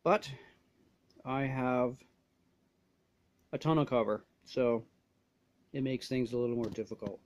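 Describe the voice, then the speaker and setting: A man's voice: mid-range voice, a little slow, pauses often, darkish tone, lo-fi sound, slight background noise. A man casually demonstrating gear as a reviewer, talking in short, hesitant phrases. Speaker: gear reviewer showing a radio install.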